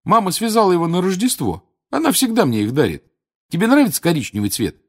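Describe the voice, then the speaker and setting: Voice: mid-range voice, very animated, fast, husky, voice pushed up. A storybook reader voicing a friendly, generous teenage boy with an easygoing, chatty way of talking.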